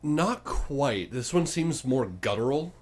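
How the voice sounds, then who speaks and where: A man hosting and narrating a tabletop fantasy game, improvising dramatic, halting descriptions as game master. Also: mid-range voice, expressive.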